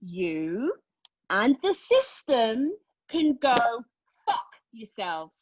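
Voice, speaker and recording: A woman acting a wounded, defiant character in a monologue, candid and bitterly sardonic, with halting phrasing. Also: fairly high pitch, sing-song, husky, no echo, dull audio, full character voice. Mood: defiant, angry. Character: wounded, defiant woman confessing a painful past. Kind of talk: dramatic monologue at a table read.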